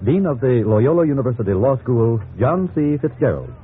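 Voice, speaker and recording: Animated male announcer on tinny old radio. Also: medium pitch, brisk, a little hiss or hum. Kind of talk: scripted 1950s radio announcing. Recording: tinny 1950s radio recording with music.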